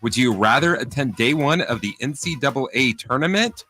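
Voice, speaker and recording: Bright-voiced man on sports radio. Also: medium pitch, animated, moderate pace, slightly rough, soft background music. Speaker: radio show co-host posing questions. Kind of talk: casual sports chat.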